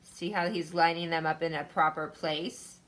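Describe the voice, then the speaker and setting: A woman's voice: deep voice, steady tone, normal speed, fairly bright tone. A woman teacher reading a picture book aloud to children and explaining it in an instructive way, addressing them directly.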